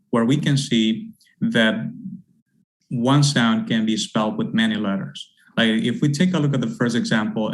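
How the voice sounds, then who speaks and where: A man's voice: lowish voice, poor audio. A middle-aged man, a university English teacher giving an academic presentation, rambling with many fillers and repeated words. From Honduras. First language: Spanish.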